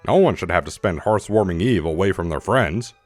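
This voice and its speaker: A gentle café pony played by a man speaks kindly and a little sheepishly. His low voice moves around in pitch, with soft music behind. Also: animated, brisk. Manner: gentle, apologetic.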